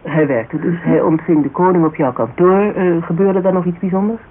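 A man's voice: high voice, steady tone, moderate pace. A man playing a patient, businesslike investigator in a naturalistic radio drama, questioning tersely and murmuring acknowledgements. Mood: businesslike probing. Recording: muffled, hissy old radio recording.

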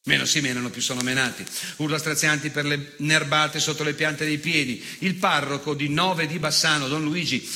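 An unrepentant old fascist in a stage monologue. His voice is fairly high for a man and extremely sharp and forceful. He is cruel and bitter, hammering his words with sardonic, obsessive insistence. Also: steady tone, moderate pace. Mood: cold gloating. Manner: emphatic, obsessive, sardonic recitation with repeated words. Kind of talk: theatrical monologue.

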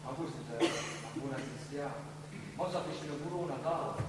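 An actor playing a pleading, good-hearted in a wartime stage play, insistent and colloquial. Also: male voice, a little high, fairly quick, natural voice. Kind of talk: stage play acting in dialect. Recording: very echoey noisy hall from far off.

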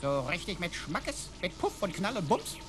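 High-pitched cheeky computer sidekick. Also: male voice, sing-song, moderate pace, a bit bright, soft background music. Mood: playful. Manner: flowery mock-pompous phrasing, sing-song wordplay. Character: cheeky male computer sidekick, clownish and mock-servile. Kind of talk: German sci-fi radio play acting.

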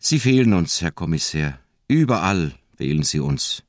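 A big, loyal policeman in a crime radio play. His voice is very deep, with animated pitch and a dry, close sound, and his manner is plain and deferential. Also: normal speed, no echo. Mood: fond, regretful. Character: big, fat, loyal, simple-hearted policeman and former chauffeur. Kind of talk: radio drama acting.

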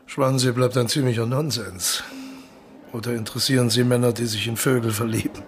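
An actor playing an aging colonel in a noir radio play, upright and authoritative without barking, politely blasé. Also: male voice, mid-range voice, fairly even intonation, fairly quick, faint music behind, close to an everyday voice. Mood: urbane, bored. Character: aging male colonel, upright, self-assured, urbane and blasé.

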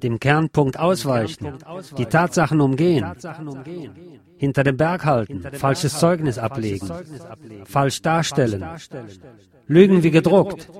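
A man reciting in a declaimed spoken-word style, theatrical and deliberate, as a radio presenter. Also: mid-range voice, expressive, a crowd in the background.

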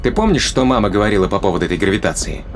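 Male crewman, played by an actor: low-pitched, brisk, sardonic explainer for sci-fi audio drama, colloquial, ambient noise underneath. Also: lively intonation, close to an everyday voice. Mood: wry, explanatory.

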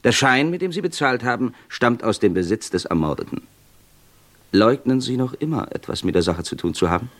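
An actor playing a persistent, stern British police inspector in a German radio crime drama, with formal, pointed questioning. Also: male voice, medium pitch, animated, a little fast, fairly bright tone. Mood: pressing, confronting.

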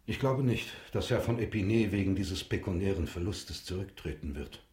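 A man in a German radio drama playing a proud, uneasy gentleman prosecutor, formal and guarded. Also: low voice, expressive, moderate pace, reverberant. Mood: proudly insistent.

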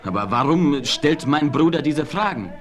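A man's voice: a little high, expressive, moderate pace, lightly acted. Proud young chief, solemn and stately, acted by a man. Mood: grave. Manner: solemn, declamatory, stately phrasing. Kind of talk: 1970s western radio play acting.

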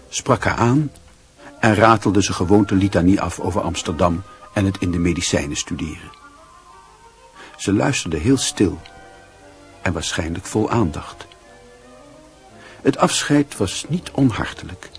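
A man giving wry, scripted literary narration in a Dutch radio play. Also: low-pitched.

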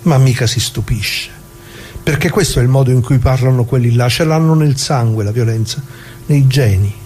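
Actor as mellow, anguished ordinary man, musing aloud. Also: medium pitch, slight background noise, close to an everyday voice. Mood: bitter, musing. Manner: halting, musing, rhetorical questions, repeats himself. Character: anguished ordinary man in the square, plain-spoken antifascist witness. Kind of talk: theatrical monologue, conversational radio storytelling.

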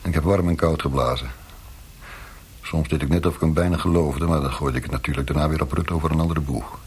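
Very deep, smug male interrogator. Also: fairly even intonation, fast, darkish tone, breathy edge, heavily acted. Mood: smug, intimate. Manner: smug, chatty, suggestive. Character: smug, callous male interrogator, calmly cruel. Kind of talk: radio drama acting.

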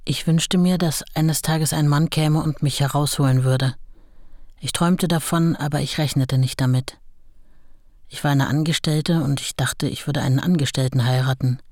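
A woman's voice: rumbling low, darkish tone, no echo, studio quality. An actress playing a modest working-class woman recalling her youth in a radio play, in a plain, matter-of-fact way.

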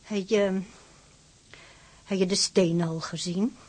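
An actress playing a quiet, hesitant, concerned older woman in a Dutch radio drama. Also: mid-range voice, steady tone, takes it slowly. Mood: subdued, hesitant.

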